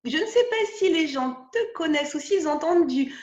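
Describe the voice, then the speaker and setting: A woman interviews a guest over a video call, her voice high and bright in pitch. She talks in a chatty, hesitant way, full of fillers and restarts. The room around her rings with noticeable echo. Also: expressive, moderate pace, muffled recording. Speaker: podcast host interviewer.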